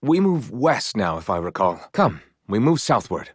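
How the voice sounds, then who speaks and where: Young knightly nobleman, lowish voice, expressive melody, archaic eloquent diction, polite, earnest, quietly proud, video game character, dry studio sound. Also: moderate pace, breathy edge, dry recording. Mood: brisk, polite. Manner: formal, archaic, eloquent phrasing.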